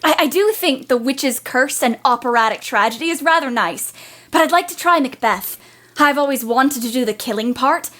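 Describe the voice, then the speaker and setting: Woman playing a high-voiced, spirited tomboy teenage girl. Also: normal speed, fairly bright tone. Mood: dramatic, proud. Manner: theatrical, exuberant, blunt. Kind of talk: audio play acting.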